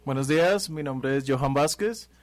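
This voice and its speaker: A calm man, his voice in a middle range and recorded very dry and close. He speaks earnestly, pausing often with soft hesitations. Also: medium pitch, no echo. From Colombia. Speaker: guest, ceremony leader.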